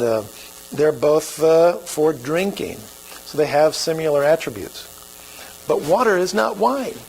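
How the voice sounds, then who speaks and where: A male pastor with an Oklahoma accent speaks in a folksy, conversational sermon. His voice sits a touch high and carries a gravelly rasp. A faint hiss of noise lies under the recording. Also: darkish tone, poor audio.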